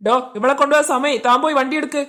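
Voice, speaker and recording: Woman voicing a menacing, bossy kidnapper, quick and high. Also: fairly even intonation, sharp tone, slight background noise. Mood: barking orders. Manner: threatening, commanding. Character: menacing male kidnapper boss, bullying and impatient. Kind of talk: amateur cartoon dub in Malayalam.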